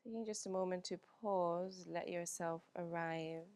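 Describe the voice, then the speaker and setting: A woman whose first language is Finnish, teaching a yoga class in a calm, unhurried way with frequent pauses and "um"s. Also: lowish voice, smooth, no echo. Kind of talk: guided yoga class instruction.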